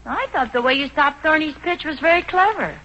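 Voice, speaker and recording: An actress playing an affectionate, practical American wife in a 1940s radio comedy. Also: female voice, sits high, animated, brisk, sharp tone. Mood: reassuring. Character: reassuring, affectionate, practical middle-aged wife. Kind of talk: 1940s radio sitcom acting. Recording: muffled, faintly noisy 1940s radio recording.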